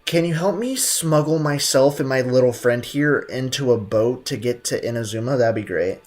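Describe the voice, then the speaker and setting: Very low-voiced woman streamer, wry. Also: normal speed, darkish tone. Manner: sarcastic, wry, slangy. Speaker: game streamer. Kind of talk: casual gameplay commentary. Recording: faint game music behind.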